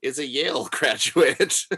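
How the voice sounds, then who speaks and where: Casting: male podcast co-host, casual film chat, mid-pitched, animated, bright. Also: slow pace, breathy edge. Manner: animated, readily amused.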